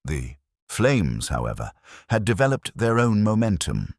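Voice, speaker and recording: A man narrating a scripted mystery story in a measured, literary way with dry irony. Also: fairly low pitch, sing-song, dry recording. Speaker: audiobook narrator.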